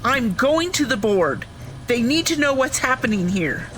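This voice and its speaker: A woman's voice: fairly high pitch, sing-song, unhurried, a bit bright, slight background noise. A woman playing an alarmed, principled scientist in an audio drama, urgent and emphatic. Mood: firm. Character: alarmed, principled female scientist, firm and pleading.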